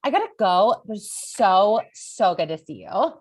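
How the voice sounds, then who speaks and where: A woman's voice: mid-range voice, big pitch swings, a little slow. A woman reading the part of an effervescent comedian in her late thirties at an online table read, breezy and emphatic. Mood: friendly.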